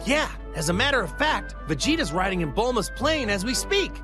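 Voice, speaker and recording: An actor voicing a cheerful, boyish martial-arts hero eager for battle in a video game cutscene. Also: male voice, high voice, brisk, over music. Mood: upbeat. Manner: boyish, easygoing, excitable.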